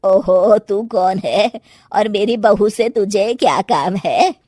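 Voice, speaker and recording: A woman playing a blunt, mocking older mother-in-law in a cartoon story, with a husky voice. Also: mid-range voice, slow pace, mellow, no room sound, studio quality. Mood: mocking, suspicious. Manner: blunt, scoffing, exclamatory. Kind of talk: cartoon moral-story acting.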